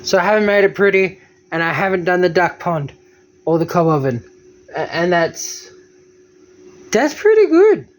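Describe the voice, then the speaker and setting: A man's voice: sits high, very animated, moderate pace, long pauses. A man vlogging his day with chatty, self-mocking narration into a handheld phone camera. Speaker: homesteading vlogger. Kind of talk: casual self-filmed vlog narration.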